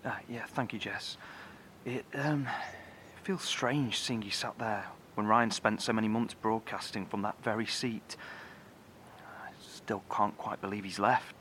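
A man's voice: fairly low pitch, fairly even intonation, fairly bright tone, slight background noise. Grave, tense male bunker engineer. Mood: awkward, wistful. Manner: halting, solemn, careful explaining. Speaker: lead engineer. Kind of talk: horror audio drama dialogue.

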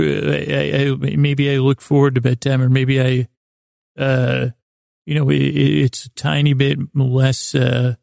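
A man with a creaky, gravelly voice hosting a sleep podcast, meandering and halting through a self-deprecating, soothing bedtime monologue to the listener. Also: mid-range voice, darkish tone, dry recording, studio quality.

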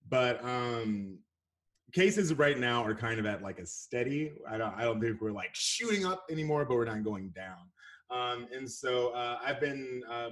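A man from Texas with a faint Texan accent, chatting as a podcast guest and laughing often. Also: fairly low pitch. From Houston, Texas. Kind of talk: casual chat.

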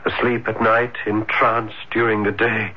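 An actor playing a shy, ardent young dreamer in a 1970s radio drama, hesitant and breathless with florid romantic phrasing. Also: male voice, on the low side, level tone, measured pace. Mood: fervent. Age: young adult. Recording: muffled noisy old radio broadcast.

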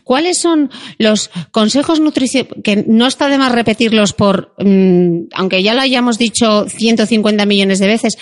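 A woman with an Asturian Spanish accent, hosting a podcast interview in an informal, chatty style. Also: medium pitch. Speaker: podcast host. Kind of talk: casual interview chat.

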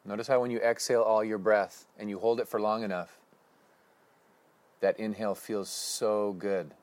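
A man leading a guided breathing meditation with slow, calm, instructive cues. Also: lowish voice, dry recording.

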